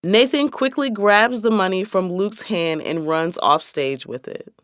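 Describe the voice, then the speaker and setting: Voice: mid-range voice, a little slow, a bit bright, clean tone, dry recording, studio quality. A narrator reading stage directions for a radio play as scripted narration.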